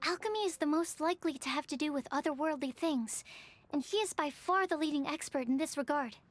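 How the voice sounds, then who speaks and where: A woman's voice: high voice, brisk, lightly acted. A woman playing a polite, earnest, bookish young woman researcher in an anime video game, speaking carefully. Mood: thoughtful. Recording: background music.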